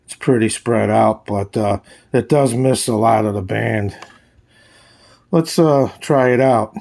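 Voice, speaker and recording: A man giving a casual, hands-on product demonstration as a reviewer, speaking in a plain, halting way. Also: on the low side, creaky, a little mellow. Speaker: radio reviewer, host.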